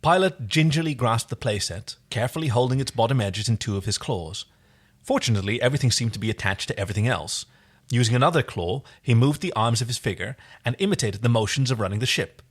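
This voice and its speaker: Male co-host, mid-range voice, animated swings in pitch, informal story narration. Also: fast talker, breathy edge. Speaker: podcast co-host reading fan fiction aloud. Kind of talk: story reading with casual chat.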